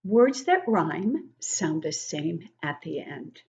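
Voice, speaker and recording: An older woman giving an interactive read-aloud lesson for young children, encouraging and expressive, asking questions and explaining things simply. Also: deep voice, slightly rough. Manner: encouraging, asks questions and pauses to praise answers. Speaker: children's book club teacher reading poems aloud.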